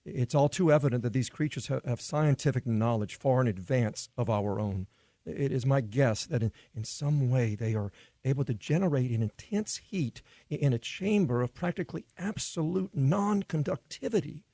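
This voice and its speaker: A man playing a cautious, scholarly professor in a radio play, speaking in a halting, pedantic way with stammered restarts. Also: medium pitch, mellow, no room sound, natural voice. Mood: cautious, pondering. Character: hesitant, scholarly male scientist, cautious professor. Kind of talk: radio play acting, scientific explanation.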